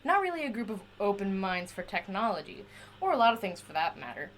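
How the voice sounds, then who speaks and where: A woman's voice: medium pitch, very animated, fairly quick, slight background noise, lightly acted. A woman playing a chatty, eccentric inventor in an audio drama, rambling eagerly and wheedling to justify herself. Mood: hopeful, confiding. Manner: rambling, wheedling, talks to a silent companion.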